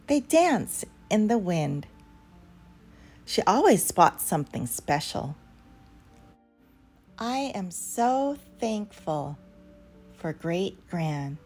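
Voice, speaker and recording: Expressive woman reading to children, slow pace. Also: on the low side, slightly rough. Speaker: read-aloud storyteller for children. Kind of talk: expressive picture-book read-aloud for young children. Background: background music.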